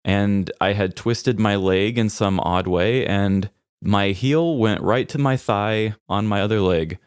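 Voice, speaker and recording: Deep voiced guy doing casual funny podcast chat, playful storytelling, really dry sound. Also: slow, clean tone, no room sound. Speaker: podcast co-host. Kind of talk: casual comedic podcast banter.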